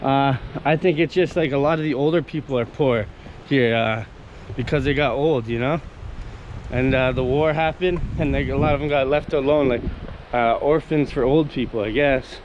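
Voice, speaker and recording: A man's voice: medium pitch, normal speed, muffled recording, slight background noise. A young man from Canada chatting casually on camera as he walks outdoors, rambling and enthusiastic with many fillers and restarts. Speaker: vlogger. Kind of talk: casual walk-and-talk vlog. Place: outdoors on a coastal town street.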